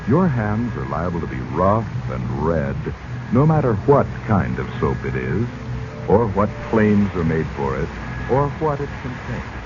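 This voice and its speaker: A male radio announcer delivering a 1940s commercial, addressing listeners like a smooth salesman. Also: sits low, background noise. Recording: dull 1940s radio recording. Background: music.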